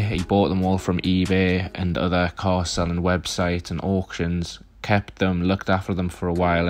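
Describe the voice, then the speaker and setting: A young man narrates his car vlog in a deep, monotone voice, chatty and rambling with lots of fillers. Faint music plays beneath him. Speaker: car vlogger. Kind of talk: casual voice-over vlog.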